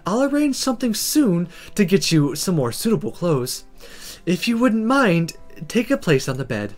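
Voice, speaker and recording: Someone voicing a calm, polite woman magic teacher. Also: medium pitch, moderate pace, soft background music, lightly acted. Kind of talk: visual novel read-aloud character voice.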